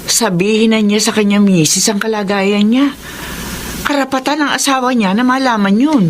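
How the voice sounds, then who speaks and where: A woman's voice: a little high, fairly even intonation, moderate pace, slight background noise, lightly acted. An actress playing a concerned, caring mother in a radio-style drama. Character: concerned, caring middle-aged or older mother.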